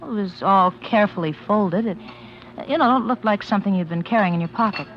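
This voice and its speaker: An actress plays a devoted, persistent American sweetheart in a 1940s radio drama. She coaxes and teases earnestly at an ordinary womanly pitch. The old recording is muffled, with faint hiss. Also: brisk, close to an everyday voice. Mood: puzzled. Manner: coaxing, earnest. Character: devoted, persistent, teasing girlfriend of a piano player.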